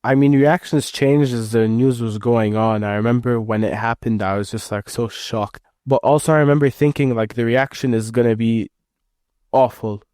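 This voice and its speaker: A young man, a university student, answering interview questions in a relaxed, thoughtful way. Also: medium pitch, normal speed, clear, resonant tone, no room sound, studio quality. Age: young adult.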